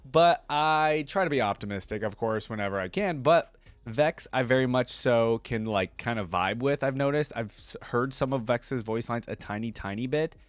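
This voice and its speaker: A man hosting a reaction channel, casually commenting and reading aloud, thinking out loud with informal asides. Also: mid-range voice, moderate pace, few pauses, no room sound.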